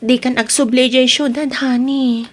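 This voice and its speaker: A woman's voice: sits high, steady tone, unhurried, loud music behind the voice. A woman playing an anxious, clinging nineteen-year-old in an Ilocano audio drama, pleading and coaxing with an Ilocano accent.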